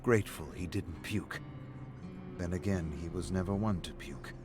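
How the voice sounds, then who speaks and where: A man's voice: low-pitched, normal speed. A man giving a scripted, dramatic narration of a gritty game story. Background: music.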